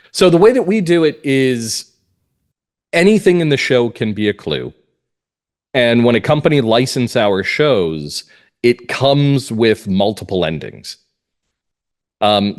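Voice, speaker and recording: A middle-aged man talking at length in a conversational interview, explaining things as a guest. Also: medium pitch. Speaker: guest, mystery playwright and script consultant.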